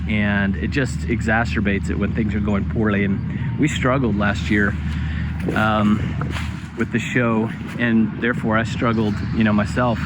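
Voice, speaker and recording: A man hosting a travel vlog, narrating his own story in a relaxed, conversational way. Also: medium pitch, very noisy. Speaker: host. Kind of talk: vlog narration and to-camera talk.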